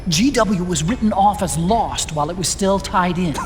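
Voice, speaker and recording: A man's voice: sits high, moderate pace, natural voice. A man playing an earnest, brainy technical expert explaining things in a video game cutscene. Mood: intent explaining. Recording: noisy background ambience.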